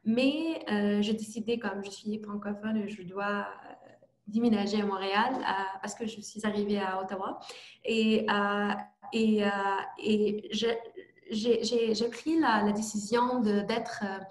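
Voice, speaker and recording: A young woman presenting her project in halting speech with many fillers and restarts, speaking as an over a video call. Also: mid-range voice, steady tone, roomy sound. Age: young adult. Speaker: youth forum participant presenting her project. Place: home, remote online event.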